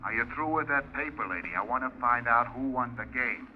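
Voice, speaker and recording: A man's voice: medium pitch, a little fast, close to an everyday voice. Man as a controlling kidnapper, muffled sound. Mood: controlling, menacing. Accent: American. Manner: clipped, instructing, colloquial. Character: controlling male kidnapper giving orders by phone. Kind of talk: old-time radio crime drama acting. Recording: muffled, noisy vintage radio recording.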